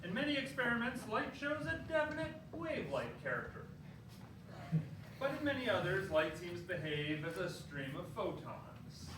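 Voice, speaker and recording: A man performs a dramatic reading in a theater, his voice high and very animated. He moves haltingly through the text with mock-dramatic weight and deliberate pauses. He is heard from far off in the room. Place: indoor theater, live performance. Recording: noisy, echoey theater, recorded from far off.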